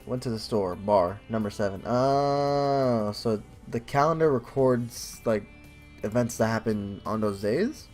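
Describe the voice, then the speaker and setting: A man's voice: mid-range voice, unhurried, darkish tone. A man doing casual let's play commentary, reading game narration aloud and adding informal, joking asides. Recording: faint game music behind.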